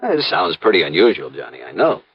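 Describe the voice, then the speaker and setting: A man's voice: low-pitched, wildly swooping pitch, fairly quick, gravelly, slightly rough, no room sound, full character voice. An actor playing an emphatic, opinionated American insurance man in 1950s radio drama style, insistent and sure of his suspicions. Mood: earnest, certain. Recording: dull, band-limited old radio recording.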